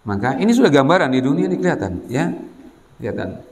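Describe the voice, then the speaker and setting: Male Islamic teacher, mid-range pitch, admonishing sermon delivery with repeated phrases, long hall reverb. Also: very animated, fast talker, darkish tone, slight background noise. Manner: repetitive, admonishing, frequent "ya" check-ins to listeners. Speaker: Islamic boarding school teacher and preacher. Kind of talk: religious exhortation to students.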